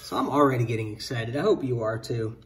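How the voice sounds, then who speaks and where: A man in his thirties co-hosting a card-opening show, excitedly calling out each card he pulls in casual chat. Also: mid-range voice, fast, distant mic. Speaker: co-host opening card packs. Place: indoor room at a table.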